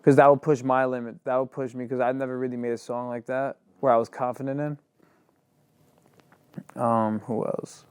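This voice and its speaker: A man's voice: medium pitch, level tone, normal speed, darkish tone, smooth, dry recording, studio quality. A young man, a rapper and singer, talking casually in an interview, rambling and filling his sentences with "like". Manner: loose and rambling, heavy on "like".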